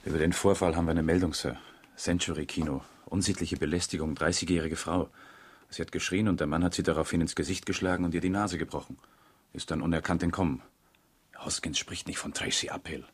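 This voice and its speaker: A man's voice: sits low, fairly even intonation, a little fast, slight background noise, natural voice. An actor playing a deferential, matter-of-fact police note-taker reporting to his superior in a radio crime drama. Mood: discreet, factual. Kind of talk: 1970s German radio crime drama acting.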